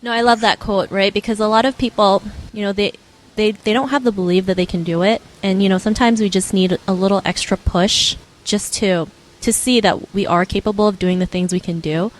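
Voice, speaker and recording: A woman's voice: mid-range voice, fairly even intonation, a little fast, low-quality recording. A woman hosting an interview podcast, upbeat and affirming, with many "you know" fillers. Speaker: podcast host.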